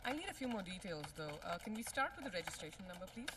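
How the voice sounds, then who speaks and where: A woman's voice: mid-range voice, sing-song, very fast, close to an everyday voice. An actress playing a courteous female call centre agent in a film. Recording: noisy office, keyboard clicks.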